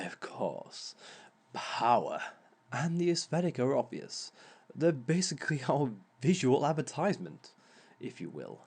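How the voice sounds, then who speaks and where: A man's voice: mid-range voice, darkish tone. A man voicing a sly, theatrical male villain who lectures on dating in an audio roleplay, with chuckling asides. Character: smug male villain giving a dating seminar.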